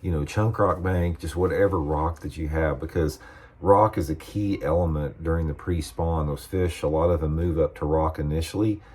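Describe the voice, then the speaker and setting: An older man with a hoarse, scratchy voice, giving relaxed, folksy fishing tips to his viewers. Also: very deep voice, normal speed. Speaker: fishing instructor and channel host. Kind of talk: casual how-to video talk.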